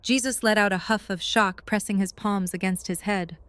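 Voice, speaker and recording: A woman's voice: medium pitch, fairly even intonation, normal speed, fairly bright tone, smooth, no room sound. A woman reading scripted story narration for an audio drama.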